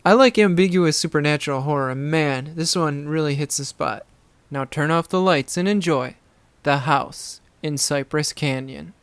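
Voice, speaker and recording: A man's voice: a little high, moderate pace, smooth, no room sound. A man hosting a podcast with a casual scripted introduction. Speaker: podcast host.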